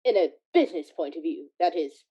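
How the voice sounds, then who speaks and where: Woman acting a mellow, low, formal miser. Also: lively intonation, a little fast, slightly rough. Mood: earnest. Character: uneasy, earnest miserly businessperson, proper and formal. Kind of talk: radio play acting. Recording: muffled, dull audio.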